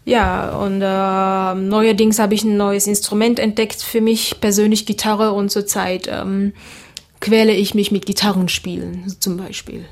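Woman, flat even speech. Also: medium pitch, unhurried. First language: Creole. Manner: relaxed, hesitant with many fillers. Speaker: singer guest. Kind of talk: casual interview answers.

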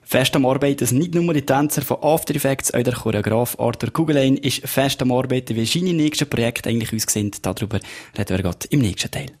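A man's voice: mid-range voice, fast talker, darkish tone, a little hiss or hum. A man hosting a radio interview, asking questions steadily and giving brief affirmations. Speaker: radio host.

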